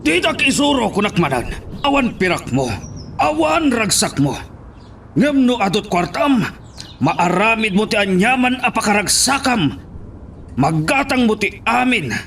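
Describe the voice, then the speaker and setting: A man's voice: sits high, big pitch swings, measured pace, gravelly, a bit bright, slight background noise, exaggerated voice. A man with an Ilocano accent playing a defiant, greedy fugitive father who threatens his own family in an audio drama. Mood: defiant, gloating.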